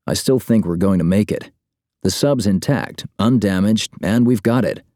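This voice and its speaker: Audiobook role read by a man: self-assured engineer, direct, stubborn, low relaxed timbre. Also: normal speed, no room sound, studio quality, lightly acted. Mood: defensive.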